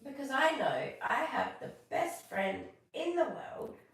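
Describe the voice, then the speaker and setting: A woman voicing a cheerful, grateful little gumnut boy from a storybook, with an excited, bouncy delivery. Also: high-pitched, takes it slowly, creaky, voice pushed up, heavily acted. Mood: grateful, happy. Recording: echoey room, distant from mic.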